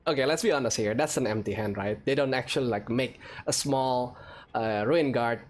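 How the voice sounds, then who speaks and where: A man's voice: mid-range voice, normal speed. A man giving casual, hesitant game commentary, musing aloud as he plays and reads dialogue choices. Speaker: gaming video host. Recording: game music behind the voice.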